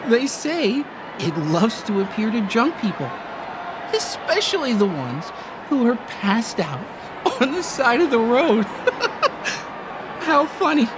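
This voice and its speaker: Slow, languid tipsy woman. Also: fairly high pitch, sing-song, noisy background. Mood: tipsy amusement. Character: tipsy wistful female drunkard, would-be poet. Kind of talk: video game voice acting. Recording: background music under the voice.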